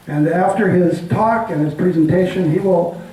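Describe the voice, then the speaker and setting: An older man introducing the guest speaker, reading from notes in a measured way. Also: high voice, warm tone, a little hiss or hum. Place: community hall meeting room. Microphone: handheld mic, far camera. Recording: echoey hall from far off.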